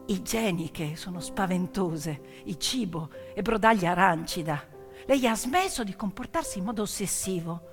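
A woman stage storyteller narrating dramatically, with emphatic, short, suspenseful phrases. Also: high-pitched. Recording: reverberant hall with piano music. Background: piano music.

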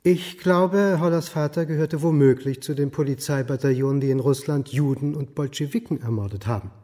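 An actor playing a prickly middle-aged university historian in a radio drama, blunt and defiant. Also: male voice, on the high side, fairly quick, dark tone, in a large hall, natural voice. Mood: insistent. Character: stubborn, prickly male university historian aiding police.

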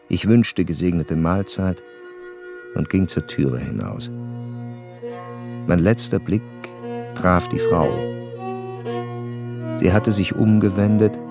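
An actor playing an educated, reflective gentleman narrator in a literary radio drama, speaking in a measured, formal way. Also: sits low, gravelly, heavily acted. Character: curious educated gentleman recounting a sad memory.

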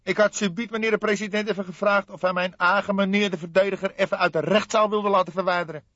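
A man voicing a scrawny, excitable café owner from the underworld in an audiobook, talking emphatic street slang. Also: sits high, quick pace, no echo, pitched above the performer's own voice. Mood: indignant. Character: scrawny indignant small-time underworld café owner, male. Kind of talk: audiobook character acting. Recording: slightly dull, dry studio recording.

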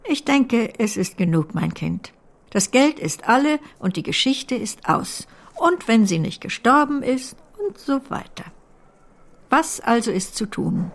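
An actress playing a blunt but loving older great-aunt in a German radio drama, dry and emphatic in a theatrical period style. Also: female voice, a little high, gravelly. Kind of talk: German radio play acting of a period novel.

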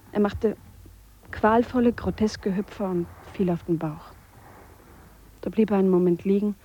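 An actress playing an earnest, reflective Swiss woman biologist who speaks a measured monologue in a radio play. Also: mid-range voice, dark tone, husky, lo-fi sound. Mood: somber. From Switzerland. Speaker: biologist character. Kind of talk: radio drama monologue, spoken letter.

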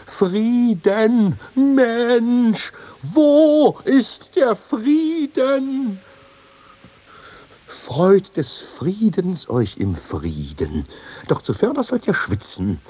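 An actor playing a boisterous, cheeky mortal winegrower who wheedles in mock-pompous, declamatory style in a comic radio play. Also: male voice, high-pitched, sing-song, extremely slow, warm tone, heavily acted. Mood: rousing, exuberant. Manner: declamatory, mock-pompous, swinging from bluster to pleading. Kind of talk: theatrical radio play acting of ancient comedy.

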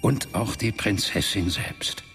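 An actor playing a proud, lovelorn demon warlord who confesses his past in a brooding, measured radio drama monologue. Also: fairly low pitch. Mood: bitter, regretful. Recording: music under the voice.

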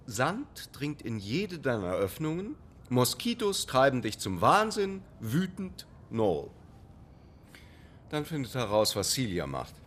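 An actor playing an imperious, sarcastic playwright with biting mock politeness in a German radio play. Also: male voice, mid-range voice, huge pitch swings, slow, fairly bright tone, a little hiss or hum, full character voice. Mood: brisk, biting. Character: imperious, sarcastic male playwright with theatrical temper.

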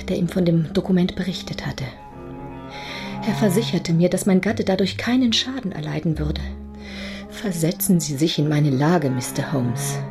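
An actress playing a desperate aristocratic wife in a radio drama, with formal, breathy, anguished delivery. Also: female voice, fairly low pitch, lightly acted. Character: desperate aristocratic lady, wife pleading for her secret. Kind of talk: German radio drama acting.

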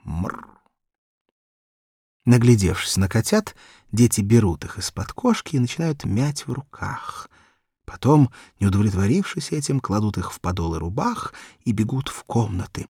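Low-voiced, expressive male storyteller. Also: husky, no echo, studio quality. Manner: theatrical, measured storytelling. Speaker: narrator. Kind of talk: expressive literary audiobook narration for children.

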